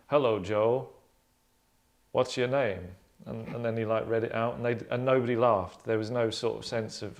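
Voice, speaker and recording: A man in his thirties at a table read. His voice sits at an average pitch as he narrates the script or casually talks it over as its writer. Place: small living room, seated on sofas.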